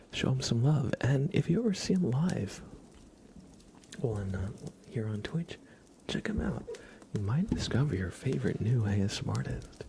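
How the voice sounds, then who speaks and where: A man hosting an ASMR livestream in a gentle, hushed voice that often drops into a whisper, close to the microphone. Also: medium pitch, background noise. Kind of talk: ASMR, soft-spoken and whispered livestream chat.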